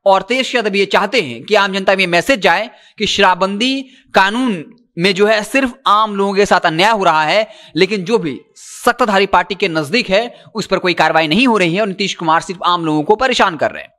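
A man's voice: sits high, a little fast, pushed and bright. A man, presenting news commentary into a handheld mic with emphatic, insistent delivery. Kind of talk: news commentary, reporting to camera. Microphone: handheld microphone.

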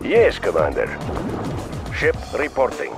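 The clipped, obedient crewman answering his commander from a game warship, wildly varying pitch, music behind. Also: wildly swooping pitch, a little slow, gravelly, full character voice. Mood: obedient, brisk. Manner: clipped, military. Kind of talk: scripted game unit responses.

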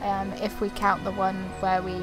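A woman's voice: mid-range voice, monotone, moderate pace, poor audio. A woman giving casual, chatty commentary as she plays through a video game. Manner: chatty, reads dialogue options aloud. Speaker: gaming video creator. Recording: game music playing behind voice. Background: game music.

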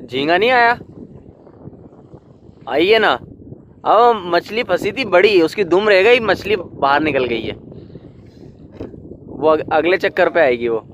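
A man's voice: high voice, expressive, slow pace, long pauses. A man filming and narrating a fishing trip from a small boat at sea, chatting and pointing things out to viewers. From Pakistan. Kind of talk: casual on-camera narration. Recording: dull lo-fi sound with loud wind and boat noise.

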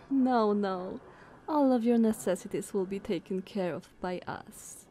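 A woman playing a dominant vampire sister in an ASMR roleplay, speaking softly and breathily with sweet condescension. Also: medium pitch, unhurried, a little hiss or hum, close to an everyday voice. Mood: mocking, condescending. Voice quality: soft, breathy, often whispered.

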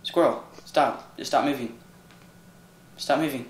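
A woman hosting casual gameplay commentary, excitable, with squealing exclamations. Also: extremely low pitch, unhurried. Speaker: gaming video host. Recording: echoey room with faint noise.

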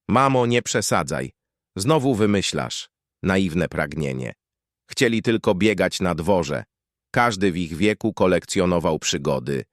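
A man narrates a grim horror tale in fragmentary, poetic prose. His voice is fairly low and very expressive, with wide swings in pitch. The recording is dry and close, letting each deliberate phrase stand alone. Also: no echo.

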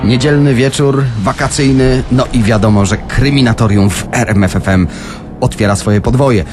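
A man's voice: medium pitch, moderate pace, music in the background. A man hosting a conversational true-crime show on the radio, speaking speech from a studio. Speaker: radio host.

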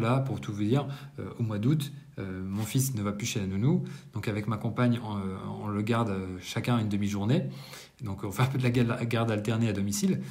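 A man's voice: on the low side, a little mellow. Hesitant man chatting to camera. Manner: conversational, many hesitations and self-corrections. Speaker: business owner giving a monthly debrief. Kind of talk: casual monthly business vlog to camera. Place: indoors, bright apartment room.

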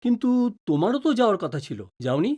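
A woman's voice: lowish voice, very animated, fast, mellow. A woman playing a wary, joking middle-aged father in an audio drama, with a teasing, exclamatory manner. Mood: friendly, chatty. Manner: teasing, mock-pompous, exclamatory.

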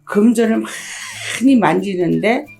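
A middle-aged woman, a shaman fortune teller, hoarse from a cold, explaining things slowly and plainly in an interview. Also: medium pitch, expressive, a little mellow, soft background music. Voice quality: husky, gravelly. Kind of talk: interview answers.

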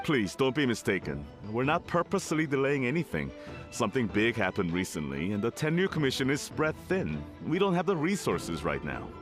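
A man's voice: medium pitch, big pitch swings, brisk, music in the background, lightly acted. A man voicing a harried guard in a video game, weary and officious but polite. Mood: defensive, explanatory. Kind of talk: video game character acting.

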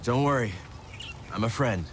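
A man voicing a friendly, calm and watchful adventurer in a video game. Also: medium pitch, normal speed, slight background noise, natural voice. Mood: reassuring.

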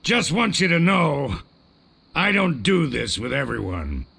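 A blustering, insulting game swordmaster coach. The voice is raised in pitch yet gravelly, forceful and wildly expressive, barking with contempt. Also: male voice, measured pace, full character voice. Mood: grudging, blustery. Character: blustering, gruff male sword trainer, crusty and insulting. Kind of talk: cartoonish adventure game acting.

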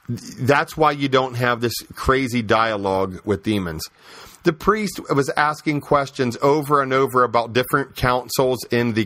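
A man's voice: medium pitch, fairly bright tone, no echo. A man hosting a podcast, giving opinionated religious commentary and storytelling in an emphatic, conversational way, with repeated phrases and rhetorical questions. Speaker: podcast host.

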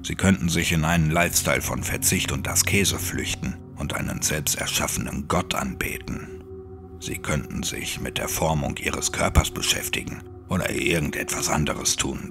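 A man acts out a weary, hollow monk in an audio horror story. His dark voice sits very low with a gravelly edge, hushed and formal as he gently warns, over music. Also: moderate pace. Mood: hushed, resigned. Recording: music under the voice.

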